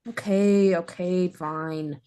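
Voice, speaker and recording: A woman's voice: fairly low pitch, fairly even intonation, slow, dark tone, clear, resonant tone. A woman reading the part of a spoiled, sheltered young woman in a sitcom script, earnest and a little whiny. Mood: reluctant. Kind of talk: sitcom script table read.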